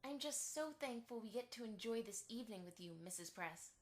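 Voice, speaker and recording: Chatty, eager-to-please cartoon pony mother for radio play, female performer; fairly high voice, effusive. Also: animated, brisk, natural voice. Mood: gushing. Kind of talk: fan radio play acting.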